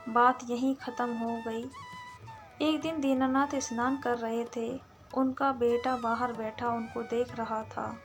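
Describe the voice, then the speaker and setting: A woman narrating a scripted story as a storyteller, in a halting delivery that breaks phrases mid-sentence. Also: high-pitched, fairly bright tone. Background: background music.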